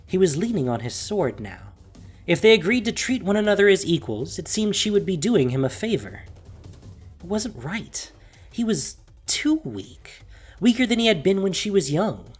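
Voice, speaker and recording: A narrator reading a scripted fantasy story in a measured, dramatic way with emphatic phrasing. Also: mid-range voice, sing-song, breathy edge, faint music behind. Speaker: audiobook narrator.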